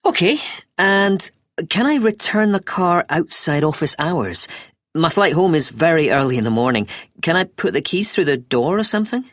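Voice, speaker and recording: A woman's voice: on the low side, expressive, normal speed, dry recording, clean studio sound, lightly acted. A woman playing an agreeable, inquiring customer planning a trip in a scripted language-test dialogue, a little hesitant and questioning. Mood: pleased, agreeable.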